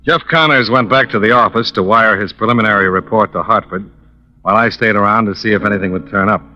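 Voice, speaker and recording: A man's voice: fairly low pitch, fast, sharp tone, muffled recording, soft background music. An actor playing a cool, probing male insurance investigator in old-time radio style, with an American accent and clipped, dry, questioning delivery on an old recording. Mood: matter-of-fact narrating. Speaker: actor playing the lead in a radio detective drama. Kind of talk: old-time radio detective acting and first-person narration.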